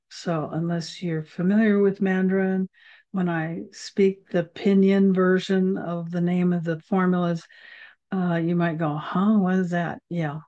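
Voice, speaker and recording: A woman's voice: fairly low pitch, mellow. A woman hosting a podcast and teaching a class on herbal remedies, chatty and rambling with many fillers, recorded over a video call. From United States. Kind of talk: casual teaching talk. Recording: slightly dull video call audio.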